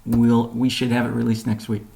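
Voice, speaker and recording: A man presenting a company update on a conference call, explaining in a measured way with deliberate pauses. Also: medium pitch, completely flat delivery, creaky, dark tone, slight background noise.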